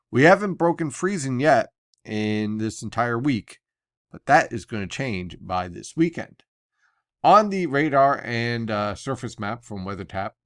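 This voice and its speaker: A man's voice: medium pitch, no echo, studio quality. An American man giving an unscripted weather briefing as forecaster and host, conversational as he explains technical detail step by step.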